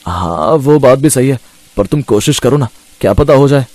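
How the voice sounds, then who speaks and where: A man's voice: mid-range voice, expressive, normal speed, a little mellow, no room sound, natural voice. A man voicing a meek young husband who pleads and explains himself in a cartoon story. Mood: pleading.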